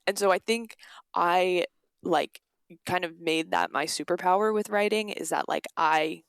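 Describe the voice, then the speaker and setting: A woman's voice: lowish voice, a little slow, smooth, no room sound. A young woman speaking casually as an interview guest, informal and peppered with "like" and quoted asides. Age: young adult. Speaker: guest, author.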